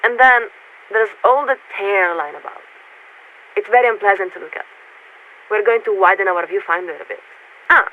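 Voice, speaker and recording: A woman playing a polite, detached warship officer in a video game, speaking formally and with measured hesitation through a radio filter. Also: mid-range voice. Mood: wistful, detached. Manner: formal, measured, hesitant when searching for words. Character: polite, detached female warship crew officer surveying a city. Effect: military radio transmission filter. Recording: tinny narrowband radio with hiss.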